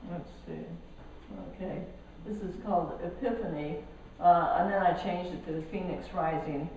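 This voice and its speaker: A woman from Colombia, over sixty, giving a casual artist talk about her work, halting and restarting as she goes. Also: lowish voice, darkish tone, recorded from a distance, lo-fi sound. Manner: halting, with many restarts and fillers. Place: gallery hall. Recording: echoey hall, speaker off mic, faint noise.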